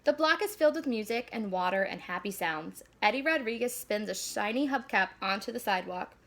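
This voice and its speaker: A woman reading a children's storybook aloud in an animated, deliberate storyteller delivery. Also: mid-range voice, creaky. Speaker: school staff member reading aloud.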